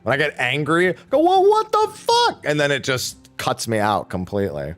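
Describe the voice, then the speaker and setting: A man's voice: fairly high pitch, wildly swooping pitch, moderate pace, a bit bright, faint music behind. A man hosting a casual gaming podcast stream, laughing often and swearing casually.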